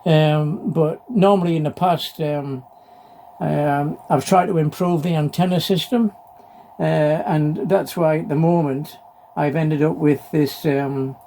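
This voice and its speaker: Mature male hobbyist vlogger, slightly high voice, hesitant unscripted delivery with repeated phrases, some background noise. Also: steady tone, slow pace, warm tone. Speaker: amateur radio hobbyist presenting a video. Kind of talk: casual unscripted vlog talk. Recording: clearly noisy background.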